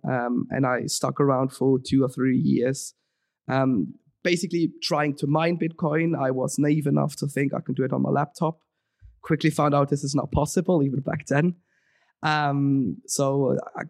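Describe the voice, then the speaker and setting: Young Swiss guy, podcast guest, fry in the voice, loose rambling talk, dead dry mic. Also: mid-range voice, a little mellow, no room sound. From Switzerland. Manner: rambling, many ums and restarts. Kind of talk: casual interview talk.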